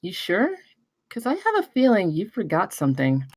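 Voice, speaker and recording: A woman's voice: medium pitch, huge pitch swings, moderate pace, darkish tone, slightly rough, no echo, clean studio sound, heavily acted. A woman at a table read playing a wry, guarded friend in a casual manner. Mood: teasing. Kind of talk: table read of a film script.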